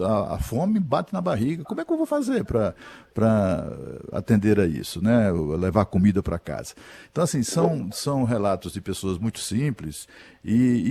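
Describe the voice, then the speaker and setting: A man's voice: fairly low pitch, husky, no room sound. A middle-aged man hosting a radio news show, asking questions hesitantly with many fillers on a studio microphone. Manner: hesitant, many fillers and restarts, animated when retelling.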